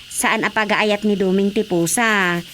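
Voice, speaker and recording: A woman playing a chatty, gently exasperated grown daughter in a radio comedy drama, with an Ilocano accent. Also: mid-range voice, steady tone, a little slow, a little hiss or hum, close to an everyday voice. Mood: explaining.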